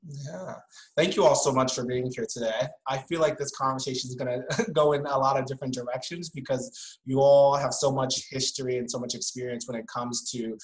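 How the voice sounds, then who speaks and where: A man's voice: mid-range voice, fairly even intonation, a little fast, low-quality recording. A man speaking on a panel, talking in a friendly, conversational way. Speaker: panelist and moderator. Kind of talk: casual panel discussion.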